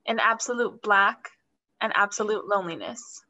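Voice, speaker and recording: A woman's voice: medium pitch, normal speed, pushed and bright, dull audio. A young woman reading scripted stage directions as narrator of a play. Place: plain room, indoors.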